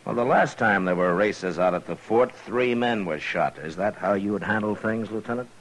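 An actor with an American accent playing a gruff, world-weary US Marshal in a 1950s radio western, dry and measured. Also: male voice, on the low side, natural voice. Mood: stern, dry. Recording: noisy old radio broadcast.

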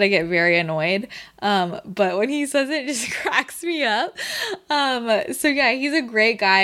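A woman's voice: mid-range voice, fairly bright tone. A woman hosting a podcast, reading an ad and introducing her guest in an enthusiastic, chatty way. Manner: enthusiastic, chatty, laughs while talking. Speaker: podcast host.

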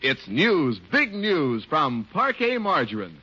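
A man working as a 1950s radio announcer and sponsor pitchman. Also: wildly swooping pitch, slow, pushed and bright, breathy edge. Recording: muffled old radio broadcast.

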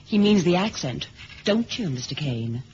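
An actress playing a self-assured American secret courier in a 1970s radio drama, cool and direct, with an American accent. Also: female voice, low-pitched, very animated, normal speed, darkish tone. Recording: dull lo-fi old radio recording, hiss.

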